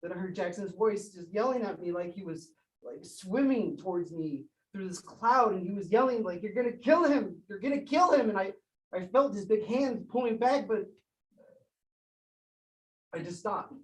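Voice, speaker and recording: A man's voice: high-pitched, moderate pace, lightly acted. An actor playing a shaken young man who stammers as he retells a violent fight, in a stage play. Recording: roomy, distant from mic.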